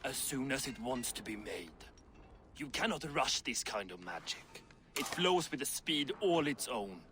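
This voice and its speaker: An actor playing a shrewd, gruff, middle-aged dwarf craftsman, blunt and boastful, in a video game. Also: medium pitch, lively intonation, unhurried, a bit bright, a little hiss or hum. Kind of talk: video game cinematic acting.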